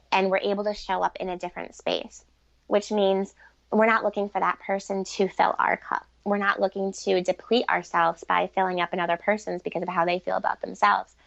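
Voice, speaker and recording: A woman's voice: medium pitch, gravelly, no room sound, highs cut off. A woman giving earnest, fluent explanations as a relationship expert being interviewed, speaking conversationally at length. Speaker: guest relationship expert and author.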